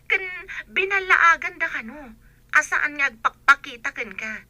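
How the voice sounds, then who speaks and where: A woman's voice: high voice, lively intonation, unhurried, sharp tone, dry recording, exaggerated voice. An actress playing a chatty, pushy young village woman with an Ilocano accent in a Filipino radio comedy-drama. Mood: gossipy, earnest.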